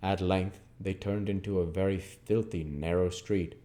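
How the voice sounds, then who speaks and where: Slow, low-voiced man reading a classic. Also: fairly even intonation, mellow. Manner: deliberate, measured phrasing. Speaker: audiobook reader and channel host. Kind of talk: expressive scripted narration.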